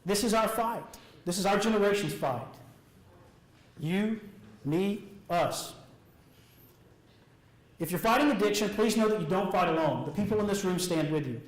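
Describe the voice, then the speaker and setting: A man delivering a prepared, earnest motivational speech to an audience in a deliberate way, with short emphatic phrases and pauses. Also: sits high, expressive. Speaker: public speaker. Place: indoor room before an audience. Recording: roomy echo of a speech venue.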